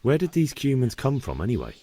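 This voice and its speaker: An actor playing an earnest, inquisitive young blacksmith's son in a medieval video game. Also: male voice, mid-range voice, wildly swooping pitch, fairly quick, warm tone, slightly rough. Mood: earnest, curious. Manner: questioning, earnest. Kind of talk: video game cutscene acting.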